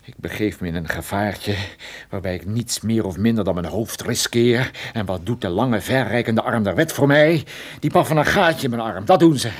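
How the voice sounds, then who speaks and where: An actor playing a wounded, irascible and flirtatious private detective in a radio play, with a sarcastic, grumbling delivery. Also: male voice, lowish voice, moderate pace, natural voice. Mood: indignant, mocking.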